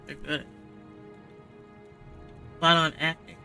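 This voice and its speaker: A man giving casual gaming commentary in a halting, musing way. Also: fairly high pitch, level tone, gravelly, music in the background. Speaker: gaming streamer.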